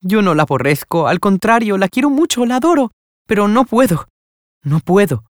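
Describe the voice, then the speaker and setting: A man's voice: high-pitched, big pitch swings, brisk, dry recording, studio quality, voice pushed up. A man voicing a poor orphan girl who speaks in a trembling, desperate way. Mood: anguished.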